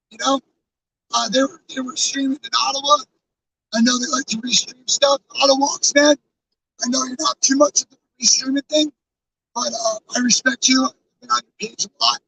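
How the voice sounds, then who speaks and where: A hoarse man losing his voice, talking casually and earnestly to his live-stream chat, rambling with fillers and restarts. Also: very high voice, bright, forceful tone, distant mic, poor audio. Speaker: live streamer with a truck convoy. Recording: off-mic with faint background noise.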